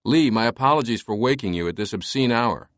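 A man's voice: medium pitch, lively intonation, a little fast, no room sound, studio quality, natural voice. A man voicing an earnest, courteous scholar who pleads politely and urgently in an audiobook. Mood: courteous, coaxing.

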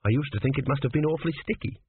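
A man's voice: medium pitch, very fast, voice pushed up, natural voice. A man voicing an earnest, anxious young prince who pleads and worries. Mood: pleading. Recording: tinny phone-like sound, faint hiss.

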